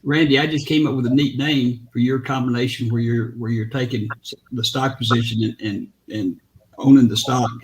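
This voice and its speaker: A man's voice: mid-range voice, steady tone, moderate pace, slight background noise, rough recording. Southern man hosting a live panel. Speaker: host. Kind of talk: live panel show chat.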